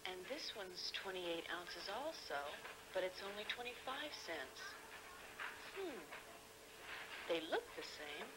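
Woman as slow, careful American shopper. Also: lowish voice, very animated. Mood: pondering. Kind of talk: educational film acting, 1970s. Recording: very noisy old film soundtrack.

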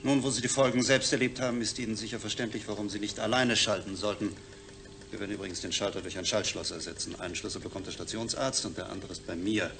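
Fast, gravelly, stern male doctor. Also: on the low side, fairly even intonation. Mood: admonishing. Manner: admonishing, matter-of-fact. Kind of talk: German audio drama dialogue.

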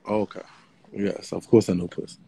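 A man's voice: on the low side, little pitch movement, normal speed, gravelly, dark tone, a little hiss or hum. A man hosting a late-night phone livestream, sassy and swearing. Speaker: livestream host. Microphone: phone front camera.

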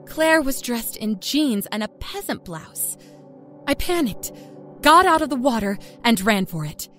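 A woman's voice: fairly high pitch, fairly bright tone. A woman playing a cold, matter-of-fact young small-town murderess, telling her horror story in a conversational, wry way. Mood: panicked, exasperated. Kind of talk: first-person dramatic horror story narration. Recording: music under the voice.

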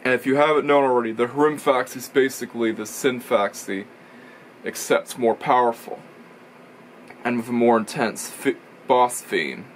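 A young man chats straight to a webcam in a small cluttered room, explaining things in a loose, rambling way. He stops and restarts his sentences as he goes. His voice sits at an ordinary middle pitch, with a faint hum of room noise behind it. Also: fairly even intonation, moderate pace, poor audio. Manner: rambling, self-correcting, explanatory. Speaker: racing video reviewer. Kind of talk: casual vlog commentary. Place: small indoor room full of toy shelves. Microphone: camera or webcam in front of him.

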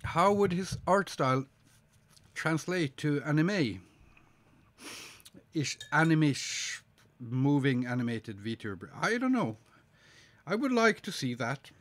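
A man in his forties with a slight cold, chatting slowly and hesitantly with a live audience while he draws at his desk, with plenty of ums and uhs. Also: on the high side, very animated, a bit bright. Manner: slow, musing, hesitant with many fillers, reads chat aloud. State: sniffly with a cold. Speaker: artist streaming live. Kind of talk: casual live-stream chat while drawing. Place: home studio desk, indoors.

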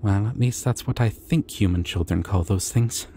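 A man voicing a shy, sweet, gentle dragon in an ASMR roleplay, speaking in a hesitant, tender and soothing way. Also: fairly low pitch, normal speed, slight background noise, lightly acted. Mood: gentle, reassuring. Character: shy, sweet, gentle young male dragon, eager to please.

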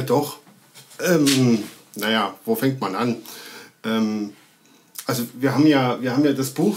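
A man in his thirties with a medium-pitched voice. He talks casually, as in a remote interview, and feels his way through sentences haltingly as he thinks aloud. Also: a little mellow, a little hiss or hum. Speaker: sound recordist and film editor. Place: indoor room, video call. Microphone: video call.

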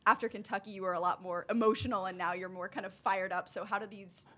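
Female reporter, sharp bright voice, casual press conference questioning. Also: mid-range voice, fairly even intonation, fast.

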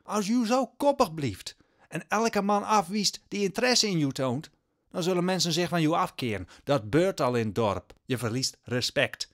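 An actor playing a worried old peasant father in an audio drama, halting and rustic, pleading with exasperation. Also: fairly high pitch, expressive, close to an everyday voice. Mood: exasperated, scolding. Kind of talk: Dutch audio drama acting.

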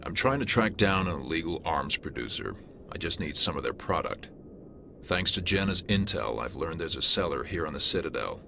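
An actor playing a gruff, pragmatic male alien detective in a video game cutscene, blunt and businesslike. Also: very deep voice, animated, normal speed, noisy background, exaggerated voice. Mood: stern. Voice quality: gravelly. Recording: game audio with loud score.

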